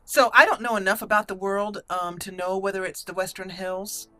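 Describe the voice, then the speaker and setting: A woman gamer with a bright, clear-cut tone narrates her roleplay playthrough casually. She thinks aloud hesitantly, with game music behind her. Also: medium pitch, steady tone, normal speed, dry recording.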